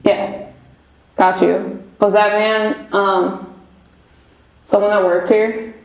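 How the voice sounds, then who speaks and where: A young woman talks casually as a medium, with a chatty, rambling delivery full of fillers. Her voice sits at an ordinary pitch. The sound echoes off the bare walls of a basement room. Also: flat delivery, slow, muffled recording. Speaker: medium, paranormal investigator. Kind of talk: casual chat on a ghost hunt. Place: old basement room with echo.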